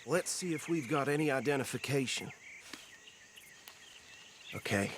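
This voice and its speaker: A man's voice: medium pitch, unhurried, fairly bright tone, slight background noise, close to an everyday voice. An actor with a Southern American accent playing a talkative, self-righteous small-town lawman in a Western video game, rambling in a folksy, indignant way. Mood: businesslike. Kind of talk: dramatic video game acting.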